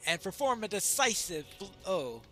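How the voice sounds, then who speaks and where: A man's voice: sits high, big pitch swings, moderate pace, sharp tone, faint music behind. A man giving casual commentary as he plays a game, musing mildly to himself. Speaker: gamer narrating a playthrough.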